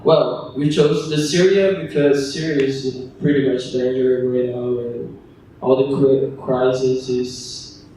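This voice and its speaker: A young man from Brazil, a student panelist, speaking in a halting, hesitant way that trails off. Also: medium pitch, fairly even intonation, dark tone. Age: young adult. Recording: echoey room from far off, faint noise.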